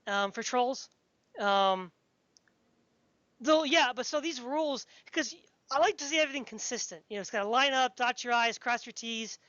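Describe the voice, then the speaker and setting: A woman's voice: on the high side, normal speed, fairly bright tone, dry recording, muffled recording. A woman speaking in an opinionated, casual discussion, insistent and pedantic in the way she makes her points. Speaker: podcast speaker discussing fantasy.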